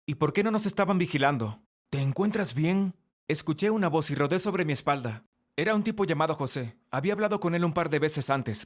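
A man reading a scripted first-person story as narrator. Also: fairly high pitch.

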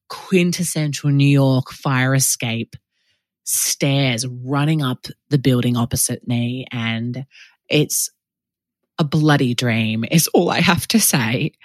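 A woman's voice: extremely low pitch, no echo. A woman hosting a podcast, talking in a casual, enthusiastic and gushing way from her apartment. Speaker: podcast host.

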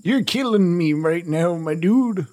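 A man's voice: sits high, animated, a little slow, darkish tone. A man playing a tabletop roleplay game, talking in casual, slangy chat.

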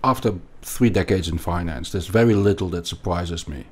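A man's voice: sits low, moderate pace. A middle-aged man from Belgium with a Belgian accent, a finance guest explaining in an interview, often repeating words and restarting. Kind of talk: conversational interview.

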